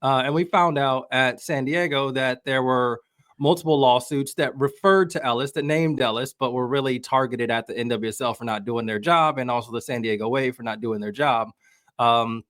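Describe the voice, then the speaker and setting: Guest commentator: American man, average pitch, casual and wry, recorded dry with no room sound. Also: steady tone, normal speed, fairly bright tone, dry recording. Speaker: podcast guest, soccer journalist.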